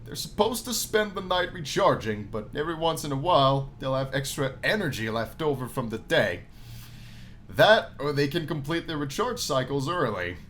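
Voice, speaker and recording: Man acting jaded male club boss, blunt, slangy, world-weary, visual novel game, slightly high voice, noisy background. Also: big pitch swings, normal speed, a bit bright. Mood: matter-of-fact.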